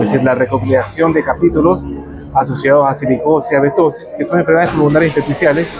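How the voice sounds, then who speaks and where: A man from Ecuador, a researcher who explains his work in a measured way, with some fillers. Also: mid-range voice, heavy background noise. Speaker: researcher, guest. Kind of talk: explanatory interview answers. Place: café during a power cut. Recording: crowd chatter behind.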